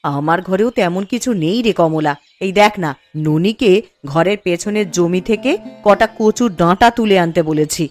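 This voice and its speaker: A woman's voice: on the low side, moderate pace, close to an everyday voice. A woman voicing a kindly, practical village mother in a cartoon, coaxing and reassuring in the way she talks. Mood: generous. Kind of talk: cartoon character dialogue. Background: music.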